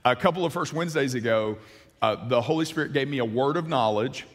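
Husky-voiced male pastor, forties, lively sermon on stage, reverberant hall sound. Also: mid-range voice, brisk. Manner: animated, gesturing, many restarts. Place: large church stage.

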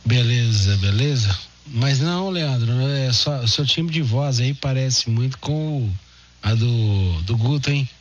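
A man's voice: medium pitch, measured pace. A Brazilian man chatting casually as an amateur radio operator. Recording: dull narrowband radio audio with hum, noise.

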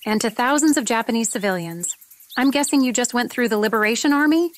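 Woman acting calm, kindly, higher-voiced countryside woman. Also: quick pace, a little hiss or hum, close to an everyday voice. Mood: reassuring. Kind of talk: acted fan fiction audio drama.

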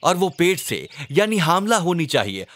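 A man's voice: on the high side, big pitch swings, normal speed, a bit bright, slight background noise, voice pushed up. A man voicing a defiant, mocking tribesman in a children's storybook tale, challenging and scornful. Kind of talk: storybook character quotes.

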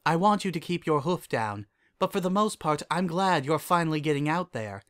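A gracious, kindly pony princess played by a man speaks in a raised, soft-mannered register. She is measured and regal, a calm mentor out of a storybook. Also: fairly high pitch, clean tone, voice pushed up. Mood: calm, reassuring. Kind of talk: storybook character dialogue.

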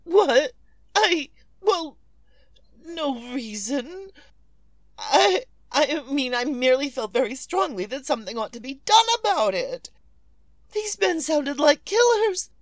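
High-pitched, fretful, imperious woman on edge. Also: big pitch swings, no echo, exaggerated voice. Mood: flustered. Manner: dramatic, demanding, flustered and halting. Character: imperious, anxious, fretful married woman making frantic phone calls. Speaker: lead actress. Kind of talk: 1940s radio thriller acting.

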